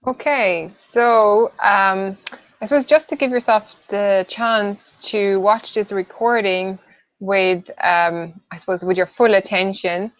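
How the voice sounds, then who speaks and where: A woman in her late thirties from southern Sweden talks through a webinar in a loose, hesitant way, filling gaps with ums and "I suppose". Her voice sits at a middle pitch. The audio is dull and lo-fi, and very dry. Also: steady tone, slow, no echo. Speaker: webinar co-host, nutritional therapist. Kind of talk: casual webinar presentation.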